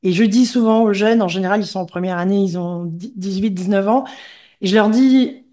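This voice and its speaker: A woman from Gabon who hosts a podcast and interviews her guest in a friendly, encouraging way. Her voice sits in a middle register and has a warm, mellow timbre. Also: medium pitch, normal speed. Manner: engaged, encouraging, conversational. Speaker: podcast host. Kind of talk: conversational interview.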